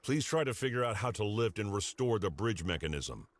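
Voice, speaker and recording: Low-pitched game hero, devoted father, former werewolf commander, earnest, dramatic. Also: very animated, fairly quick, slight background noise. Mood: frustrated, practical. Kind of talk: scripted video game character dialogue.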